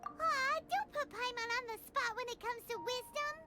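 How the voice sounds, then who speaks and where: A woman voicing a tiny, childlike fairy companion in a video game, squeaky, bubbly, cheeky and dramatic. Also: normal speed. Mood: chatty, flattering. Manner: bubbly, dramatic, speaks of herself in third person. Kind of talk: scripted video game dialogue. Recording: loud background music under the voice.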